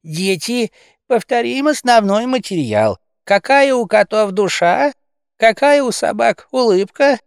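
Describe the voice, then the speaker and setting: A man voicing an old female cat schoolteacher with a creaky voice, quizzing kittens didactically in an audiobook fairy tale. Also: sits high, big pitch swings, a little slow, no echo, clean studio sound, voice pushed up, heavily acted. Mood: prim, drilling. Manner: didactic, questioning. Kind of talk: audiobook character acting, satirical fairy tale.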